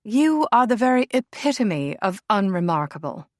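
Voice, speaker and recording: Haughty princess, voiced by a woman, icy, clipped, disdainful, lively pitch. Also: normal speed, no echo, studio quality, natural voice. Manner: cold, clipped, snobbish.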